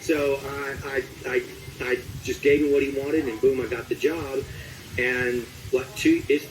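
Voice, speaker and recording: A man with a mid-pitched voice talks casually as a voice actor being interviewed. He feels his way through recollections in a halting manner, pausing to search for the right detail. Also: steady tone, slight background noise, rough recording.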